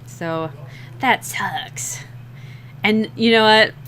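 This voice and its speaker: A young woman with a slightly rough, scratchy voice, rambling and laughing at herself in a casual chat while drawing, short on sleep. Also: mid-range voice, very slow, fairly bright tone. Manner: rambling, giggly, self-deprecating. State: sleep-deprived. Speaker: digital artist streaming a drawing session.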